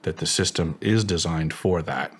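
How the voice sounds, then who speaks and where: Male interview guest, low-pitched, assertive and technical in his phrasing, laying out claims as testimony. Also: normal speed, husky. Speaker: interview guest, former contractor.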